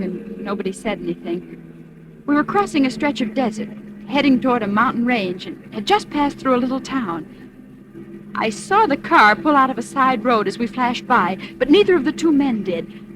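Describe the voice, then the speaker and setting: A woman's voice: a little high, natural voice. An actress playing a frightened but feisty kidnapped woman, narrating her ordeal dramatically in 1940s radio style on a noisy old recording. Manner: breathless, dramatic, emotional delivery.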